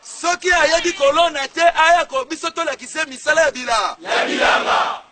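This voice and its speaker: A man's voice: very high-pitched, normal speed, very noisy. A man with a Congolese accent preaching in a fiery, declamatory way, punching out emphatic rhetorical questions. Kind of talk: fiery street-style sermon. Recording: faint crowd behind. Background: faint crowd.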